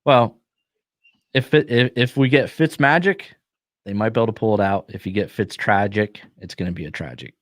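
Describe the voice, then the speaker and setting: Male podcast host, lowish pitch, close dry sound, worn voice, opinionated and jokey. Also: moderate pace, no echo. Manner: opinionated, jokey football picks. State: losing his voice. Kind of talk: casual sports podcast chat.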